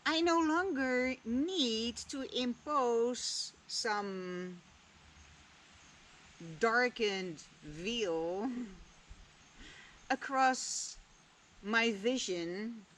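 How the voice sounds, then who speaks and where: A woman whose native language is Dutch, reflecting slowly and candidly on a spiritual lesson, with long pauses and the odd casual swear word. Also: on the high side, a bit bright, a little hiss or hum. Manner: slow, deliberate, musing with long pauses. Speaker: spiritual vlogger. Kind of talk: reflective personal talk.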